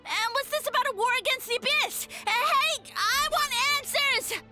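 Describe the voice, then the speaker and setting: A woman's voice: very high-pitched, normal speed, sharp tone, soft background music, full character voice. A woman voicing a pompous, scheming mushroom god in a video game, speaking in grandiose villain speeches. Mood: panicked, indignant. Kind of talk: video game character acting.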